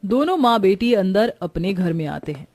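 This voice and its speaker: Woman, story narrator, scripted moral tale, mellow tone, mid pitch. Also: lively intonation, moderate pace.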